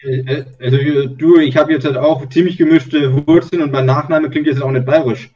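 A man's voice: a little high, fairly even intonation, moderate pace, dark tone. A man in a casual online group chat who asks probing questions and uses lots of filler words. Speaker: discussion participant. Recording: distant, muffled call audio.

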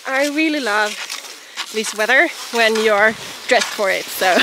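A young woman with a Swedish accent, chatting casually and giggling in slightly halting English while skiing through a snowy forest, filming herself on a pole-held camera. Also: on the high side, lively intonation. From southern Sweden. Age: young adult. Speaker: vlogger. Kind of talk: casual vlog while cross-country skiing. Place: snowy forest trail outdoors. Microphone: camera on a ski pole at arm's length. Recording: outdoor wind and pole scratch noise.

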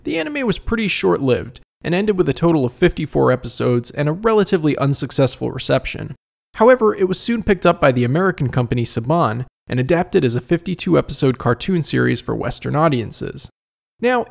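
Male review narrator, wry and expressive, lively intonation with vocal fry. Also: fairly high pitch. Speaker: video essay host and reviewer. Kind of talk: scripted narration, comedic review.

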